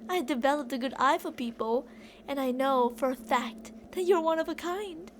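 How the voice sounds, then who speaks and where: A woman's voice: high voice, moderate pace, slight background noise, close to an everyday voice. A woman playing an obsessive, delusional young captor in an intimate, rambling ASMR roleplay monologue addressed to a captive. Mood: wounded, bitter.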